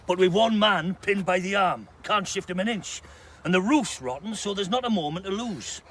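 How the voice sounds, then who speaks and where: An actor playing a brisk, blunt mine under-manager, commanding his men in a radio drama. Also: high voice, a bit bright, a little hiss or hum. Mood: brisk, grave.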